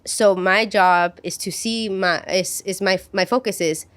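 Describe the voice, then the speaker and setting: A woman's voice: mid-range voice, fairly even intonation, unhurried. A woman in her thirties whose first language is Spanish, talking earnestly in a casual podcast discussion, with many fillers and restarts. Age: 30s.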